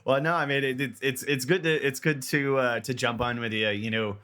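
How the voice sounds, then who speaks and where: A man's voice: medium pitch, fairly even intonation, a little fast, bright, forceful tone, clear, resonant tone. Rambling self-joking male podcast host. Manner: rambling, repeats words, jokey and self-deprecating. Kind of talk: casual podcast interview.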